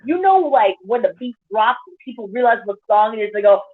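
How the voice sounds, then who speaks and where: Woman instructor, lively anecdotal panel talk, a touch high, thin phone-like call sound. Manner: animated storyteller, quick asides. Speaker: pole dance instructor. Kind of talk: casual panel discussion. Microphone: video call. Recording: tinny phone-like video call audio.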